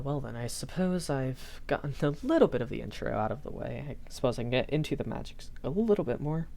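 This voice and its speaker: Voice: medium pitch, a little mellow, lightly acted. A performer voicing a shy, earnest young magic academy professor in a rambling audio roleplay, hesitant and often self-correcting. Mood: sheepish, apologetic. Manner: hesitant, self-correcting, apologetic, nervous chuckles. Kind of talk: improvised character audio roleplay, rambling lecture.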